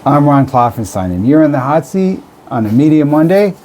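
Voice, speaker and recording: Mellow-voiced male radio host. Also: on the high side, lively intonation, a little fast, a little hiss or hum. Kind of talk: interview and scripted radio links.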